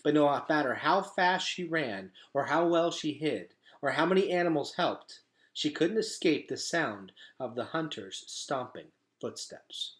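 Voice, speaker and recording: A man narrating a children's storybook aloud in a dramatic, emphatic way, dropping to a hush at times. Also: fairly high pitch, lively intonation, unhurried. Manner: dramatic, emphatic delivery with hushed drops.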